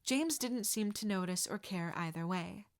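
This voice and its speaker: A woman reading fan fiction aloud as an audiobook narrator, steady and expressive. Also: mid-range voice, normal speed. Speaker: podfic reader.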